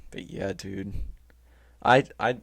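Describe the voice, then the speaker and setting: A man's voice: low-pitched, fairly even intonation, slow pace, creaky, a bit bright. A young man co-hosting a podcast in casual chat, with a laid-back, slangy way of talking and some swearing. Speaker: podcast co-host.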